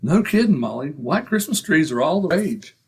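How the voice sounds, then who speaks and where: A man's voice: fairly high pitch, sing-song, moderate pace, noisy background. A man acting a stubborn, penny-pinching husband in old-time radio comedy, indignant and folksy in manner. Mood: coaxing.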